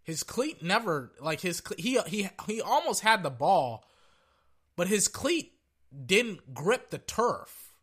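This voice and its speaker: Brash high-voiced sports podcast guy, sore throat. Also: slow pace, slightly rough. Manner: brash and excitable, hypes with "ladies and gentlemen". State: sore throat, losing his voice. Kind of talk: casual sports podcast talk.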